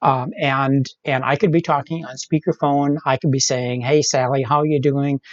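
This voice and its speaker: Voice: medium pitch, steady tone, moderate pace, no echo, muffled recording. A middle-aged instructor giving live online tech training step by step, often checking in with "right?" as they explain.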